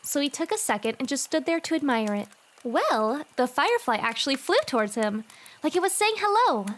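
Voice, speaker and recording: A woman's voice: high voice, big pitch swings, fairly quick. A woman acting a sweet, easily exasperated teenage girl in a fan audio drama, casual and girlish. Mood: storytelling.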